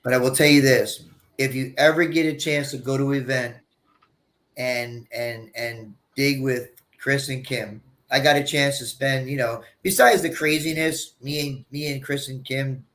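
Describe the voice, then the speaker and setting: A man's voice: mid-range voice, steady tone, a little slow, fairly bright tone. A man co-hosting a casual live stream, rambling through stories and repeating his words as he starts sentences.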